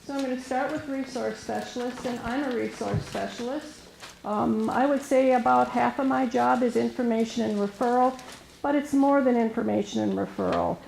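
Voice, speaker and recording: A soft-spoken woman with a gravelly, mellow voice presents to a room as a resource specialist. She talks hesitantly, full of fillers and restarts, and the room microphone catches a roomy echo. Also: a little high, moderate pace, a little hiss or hum. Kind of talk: informal presentation to an audience. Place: meeting room with an audience. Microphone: room microphone recorded for camera.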